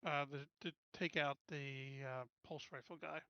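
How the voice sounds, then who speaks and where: A man's voice: a little high, steady tone, measured pace, bright, forceful tone, clear, resonant tone, dry recording, dull audio. A man chatting casually as a player in a tabletop roleplaying game.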